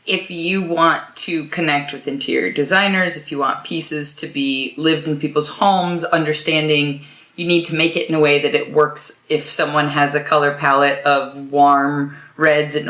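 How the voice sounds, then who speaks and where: Podcast host, woman, bright tone, thoughtful summarizer, echoey room. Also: deep voice. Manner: reflective, summarizes the guest's points at length. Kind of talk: interview conversation. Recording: roomy echo.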